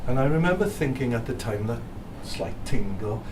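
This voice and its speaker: A man's voice: mid-range voice, expressive, normal speed. A Welsh man, a physicist, giving a live presentation to an audience with anecdotes and dry self-deprecating humor. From South Wales. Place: hotel function room. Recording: distant mic with room noise.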